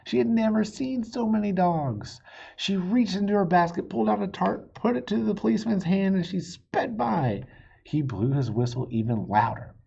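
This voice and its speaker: A man reading a children's story aloud in a playful, expressive way, with a husky voice. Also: high voice, normal speed, muffled recording. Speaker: storybook reader.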